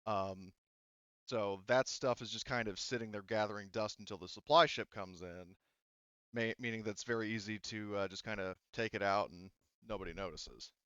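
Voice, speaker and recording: A man narrating a tabletop roleplaying game as game master, talking haltingly as he thinks aloud. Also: on the low side, a bit bright, clean tone, no echo. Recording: dull, lo-fi, dry close sound.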